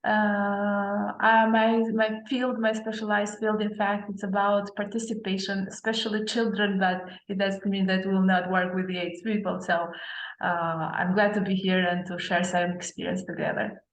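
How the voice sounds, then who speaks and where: A woman's voice: mid-range voice, flat delivery, normal speed. A woman introducing herself on a video call, hesitant with restarts. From Albania. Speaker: senior lecturer. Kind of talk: webinar self-introduction. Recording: echoey room on a call.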